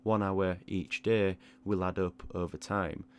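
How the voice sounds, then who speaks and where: A man giving a reflective advice monologue in a measured, explanatory way, sometimes repeating small words mid-sentence. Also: deep voice, level tone, darkish tone, slight background noise. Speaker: online art channel creator.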